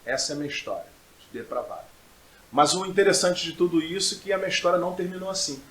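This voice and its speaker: A man's voice: sits high, expressive, fast, a bit bright, roomy sound. A man, middle-aged, giving personal testimony as a podcast guest in an emphatic way, repeating phrases for effect. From Brazil. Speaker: podcast guest, pastor and preacher.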